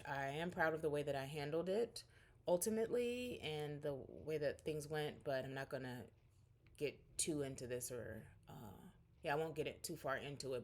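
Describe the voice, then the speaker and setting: A woman in her forties recording a casual, rambling catch-up vlog at home, talking candidly to her viewers. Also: a bass voice. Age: 40s. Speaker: vlogger.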